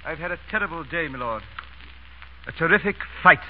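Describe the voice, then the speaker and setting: An actor with an English accent playing a deferential but proud valet in old radio comedy, very polite and formal, with a hesitant stammer. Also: male voice, fairly high pitch, animated, fairly quick, natural voice. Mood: hesitant pride. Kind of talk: 1940s radio comedy acting. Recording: muffled, hissy old radio broadcast.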